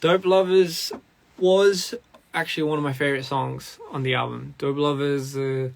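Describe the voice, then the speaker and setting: Slightly hoarse man chatting with fans. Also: a little high, steady tone, moderate pace. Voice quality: slightly raspy from recording. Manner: switches between Korean and English, laughs often, reads comments. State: hoarse after a recording session. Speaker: musician on a live stream. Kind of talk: casual bilingual live chat with fans.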